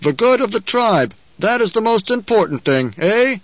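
A man's voice: sits high, big pitch swings, normal speed, a bit bright, no echo, highs cut off, voice pushed up, exaggerated voice. A man voicing a defiant young male rebel leader, speaking in a commanding, oratorical way in an audiobook. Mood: firm. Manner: commanding, raised oratorical delivery. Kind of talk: dramatic audiobook character voice.

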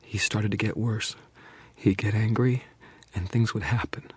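A man voicing a frightened young mother who pleads and confesses in a rush, then drops to a hush. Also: on the low side, fairly even intonation, breathy edge, no echo, voice pushed up. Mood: fearful confession. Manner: breathless pleading, rushing then hushed.

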